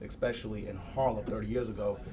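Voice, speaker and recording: A man's voice: on the low side, fairly even intonation, quick pace, crowd noise. Earnest young man. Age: 20s. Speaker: council candidate guest. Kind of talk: political discussion.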